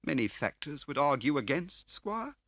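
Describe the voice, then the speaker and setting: A fat, shifty car dealer for an audiobook. The voice is pitched well up from the reader's own and swoops about, with boisterous, wheedling, mock-grand phrasing and a bright, forceful edge. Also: male voice, sing-song, normal speed, no echo. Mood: evasive, mournful. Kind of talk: audiobook character voice.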